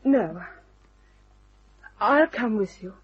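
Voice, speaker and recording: An actress playing a frightened, pleading young woman in an old-time radio mystery, breathy and husky, speaking haltingly. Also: fairly high pitch, lively intonation, muffled recording, exaggerated voice. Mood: tense, hesitant.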